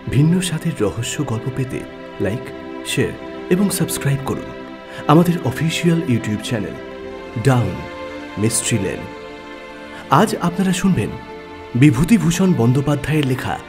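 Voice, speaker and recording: A man narrating a scripted mystery story as host, with measured, dramatic delivery. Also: medium pitch. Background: background music.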